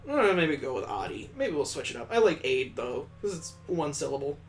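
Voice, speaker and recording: A man's voice: on the high side, big pitch swings, brisk, soft background music. A man hosting a gaming video, narrating a visual novel with improvised asides as he reads aloud.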